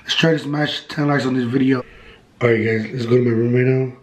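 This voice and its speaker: A young man with a hoarse, sick voice, vlogging in a bedroom and teasing his cousin. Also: mid-range voice, steady tone, fairly quick. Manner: mocking, goading. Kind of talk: casual vlog, teasing. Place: bedroom at home. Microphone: handheld camera mic.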